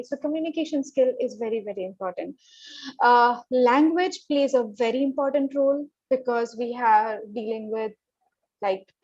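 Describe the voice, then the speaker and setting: A woman's voice: on the high side, darkish tone, dry recording, dull audio. A woman from Northeast India, giving emphatic career advice as an interview guest and often checking in with "okay?". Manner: emphatic, repeats words, tags "okay?". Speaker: guest, corporate professional.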